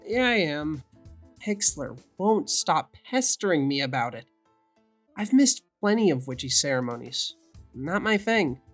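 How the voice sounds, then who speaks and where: A woman's voice: low-pitched, big pitch swings, measured pace, faint music behind, exaggerated voice. A woman playing a weary, sardonic young male witch in an audio fantasy drama, dry and grumbling. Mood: sullen.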